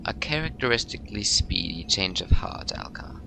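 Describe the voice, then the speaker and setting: A man's voice: low voice, expressive, moderate pace, creaky, in a whisper, heavily acted, rough recording. A man playing an aloof, insolent feline alien officer in an audio drama, with precise, formal and wry wording. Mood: smug. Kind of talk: fan audio drama acting.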